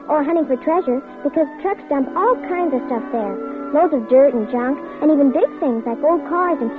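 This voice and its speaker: A woman's voice: high voice, lightly acted. An actress playing an earnest, frightened little girl who narrates and begs to be believed, in a 1950s radio drama. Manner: breathless, pleading, near tears. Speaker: child narrator and lead. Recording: tinny old radio broadcast with music.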